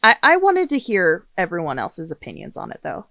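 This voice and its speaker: A woman's voice: lowish voice, wildly swooping pitch, brisk, creaky, pushed and bright, no room sound. A woman hosting a casual podcast discussion, speaking in an opinionated, emphatic way and swearing freely. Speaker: podcast host.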